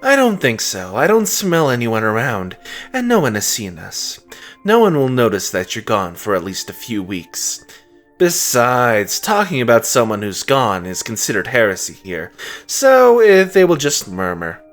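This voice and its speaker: A man voicing a gentle, frank cult follower creature in a comic dub, talking in a conversational, reassuring way. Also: mid-range voice, wildly swooping pitch, unhurried, soft background music.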